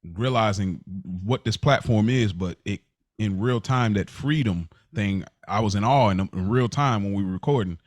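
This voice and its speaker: Animated emphatic male podcast co-host. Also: lowish voice, moderate pace, no echo. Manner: animated, emphatic, talks in bursts. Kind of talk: casual podcast chat.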